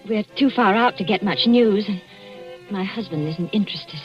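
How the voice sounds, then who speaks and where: A woman's voice: medium pitch, normal speed, faint music behind, lightly acted. An actress playing an anxious young wife who pleads with her husband, in 1940s radio acting with a dull old sound. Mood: wistful.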